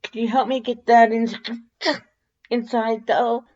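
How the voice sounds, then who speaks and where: Performer doing awkward homeowner, raised pitch, casual, hesitant, teasing, storybook dialogue. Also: little pitch movement, takes it slowly, darkish tone, dull audio, lightly acted. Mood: sneezy, flustered. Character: friendly, wry, slightly awkward homeowner. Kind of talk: fan fiction character voice in audiobook reading.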